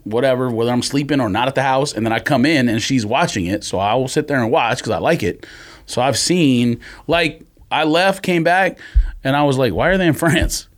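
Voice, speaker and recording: A man co-hosting a podcast in casual banter, blunt and quick to argue back, using slang. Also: mid-range voice. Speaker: podcast co-host.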